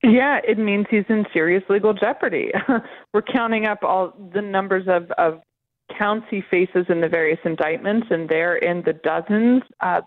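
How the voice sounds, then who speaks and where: A dry, band-limited phone line carries a woman's voice at a medium pitch. She is a reporter giving live interview answers, explaining things and often restarting her sentences. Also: moderate pace, no echo. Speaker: political reporter interviewed as guest.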